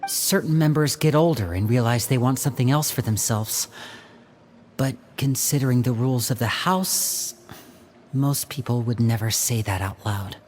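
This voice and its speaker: A composed young elder-brother figure in a video game, acted by a man, with a mid-pitched voice. He explains things in a measured, serious way, over faint background noise. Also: a little mellow, close to an everyday voice.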